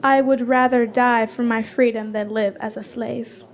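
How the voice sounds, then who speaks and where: A fierce young warrior , played by a woman, with a slightly high voice. She is proud and defiant, speaking in bold, blunt declarations as in a fantasy radio drama. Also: steady tone, measured pace.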